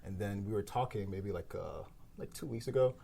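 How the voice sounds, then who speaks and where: A man co-hosting a casual podcast round-table, relaxed and full of fillers like "you know". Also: lowish voice, fairly quick, gravelly, a little hiss or hum. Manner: relaxed, filler-heavy, laughs often. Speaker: podcast co-host.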